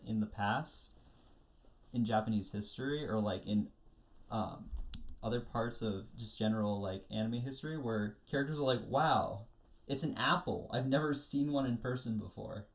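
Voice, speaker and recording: A man with an American accent in a casual panel chat about anime, retelling things in an animated way with acted-out quotes. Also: fairly low pitch, moderate pace. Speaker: podcast panelist.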